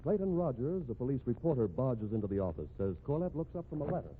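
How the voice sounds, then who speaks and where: Man as animated vintage radio mystery announcer. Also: medium pitch, fast talker, slight background noise, close to an everyday voice. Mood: scene-setting. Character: male radio mystery announcer setting the scene. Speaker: announcer and narrator. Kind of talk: 1950s radio scripted narration. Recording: narrow vintage radio recording with music.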